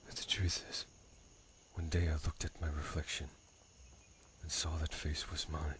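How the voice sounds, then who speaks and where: A very deep-voiced, weary father who once killed for a living, speaks in subdued game dialogue. His delivery is reflective and fairly level, with noticeable noise behind him. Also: flat delivery, normal speed, a bit bright. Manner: subdued, reflective, at times near whispering. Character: weary retired killer, a gruff devoted father.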